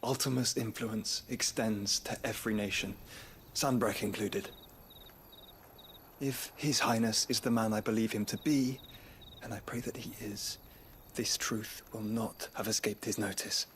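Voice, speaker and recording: An actor playing a gentle, solemn young nobleman, weary and wounded, speaking formally in a soft, breathy voice near a whisper. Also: lowish voice, fairly even intonation, a little hiss or hum. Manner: measured, formal, reflective speech. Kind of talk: game cutscene acting.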